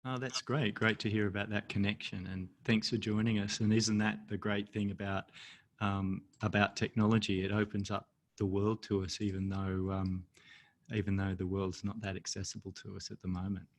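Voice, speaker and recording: A man with an Australian accent hosting an online storytelling event over a video call, relaxed and hesitant with many pauses. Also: on the low side.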